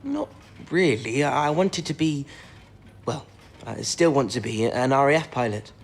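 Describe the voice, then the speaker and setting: An actor playing an earnest, modest young codebreaker, hesitant and understated, in a wartime audio drama. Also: male voice, medium pitch, very animated, a little slow, vocal fry, exaggerated voice. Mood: reticent. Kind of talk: period radio drama acting.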